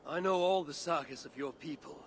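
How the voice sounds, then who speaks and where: A man playing a grim, obsessive Norse god and father in a video game cutscene, gravelly and stern, speaking in terse, weighty phrases. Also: fairly high pitch, brisk, slight background noise, close to an everyday voice. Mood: reflective.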